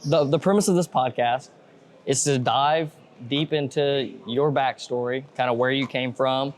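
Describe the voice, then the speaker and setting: A man's voice: mid-range voice, steady tone, measured pace. A man hosting a podcast in loose, rambling casual chat, full of restarts. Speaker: podcast host.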